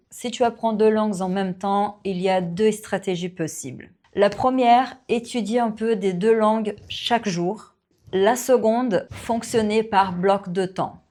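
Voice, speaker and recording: A woman who teaches French and hosts her own channel, answering viewer questions in a relaxed Q&A, with many fillers and small laughs. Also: mid-range voice. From Brazil. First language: Portuguese. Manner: slow, warm, relaxed, with frequent fillers and little laughs. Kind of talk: casual Q&A to camera.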